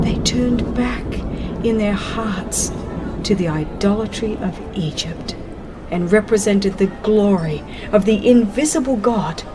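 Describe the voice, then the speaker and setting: A woman reads a religious history as a dramatized audiobook, in a solemn, measured manner with deliberate phrasing. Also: medium pitch, rough recording. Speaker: audiobook narrator. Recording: music under the voice. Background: background music.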